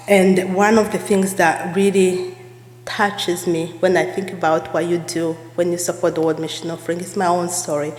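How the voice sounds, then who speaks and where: A woman from Rwanda giving an earnest sermon as a guest speaker, repeating phrases for emphasis. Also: lowish voice. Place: church sanctuary. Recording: echoey church hall.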